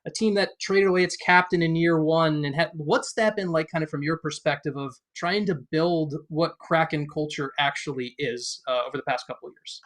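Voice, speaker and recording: Man, sports podcast co-host, slightly high voice, casual interviewing, rambling and halting phrasing. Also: a bit bright.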